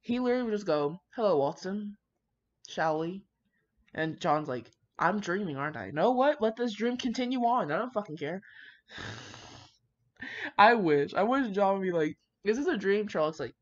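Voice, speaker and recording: A woman's voice: mid-range voice, very animated, moderate pace, pauses often. A woman reading aloud in a casual way, with brash, sweary, rambling commentary of her own. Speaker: fan fiction reader. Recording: slightly dull lo-fi home recording.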